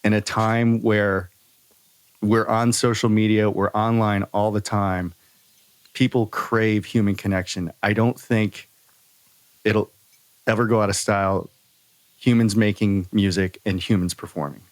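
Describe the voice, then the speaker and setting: A man hosting a podcast in casual conversation, earnest and halting, often repeating himself for emphasis on a close microphone. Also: lowish voice, steady tone, no echo. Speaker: podcast host.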